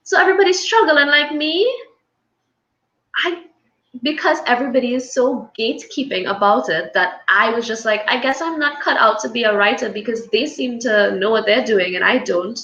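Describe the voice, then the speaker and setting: A woman's voice: on the high side, normal speed, sharp tone, reverberant, muffled recording. A woman guest in a casual video-call interview, talking candidly and animatedly about her own past.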